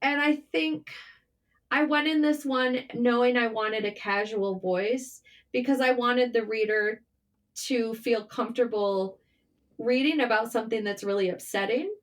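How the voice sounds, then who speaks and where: Female interview guest, higher register, conversational storyteller, frank and informal with the odd mild swear. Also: a little slow. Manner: informal, candid, mildly sweary. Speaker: interview guest, writer and journalist.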